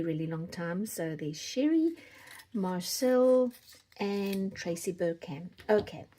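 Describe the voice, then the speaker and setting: A woman's voice: on the low side, warm tone, slight background noise. A South African woman chatting casually to viewers as the host of a craft channel. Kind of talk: casual chat to viewers while doing a giveaway drawing.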